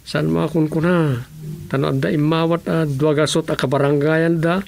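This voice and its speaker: A man's voice: fairly high pitch, little pitch movement, moderate pace, vocal fry, a little mellow. An actor with an Ilocano Filipino accent playing a grumbling village man in a radio comedy drama. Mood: grumbling, explaining.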